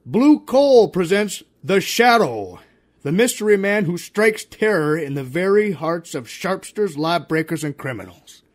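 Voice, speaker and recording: A man's voice: sits high, big pitch swings, a little slow, faint music behind. Grand old-time radio announcer, man. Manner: grand, emphatic sponsor read.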